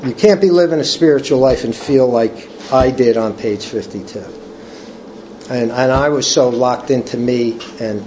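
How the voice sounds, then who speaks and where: An older man leading a recovery study group, reading from the book and sharing personal testimony in a halting, earnest way with many restarts. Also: medium pitch, mellow, slight background noise, rough recording. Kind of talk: casual talk reading from a book.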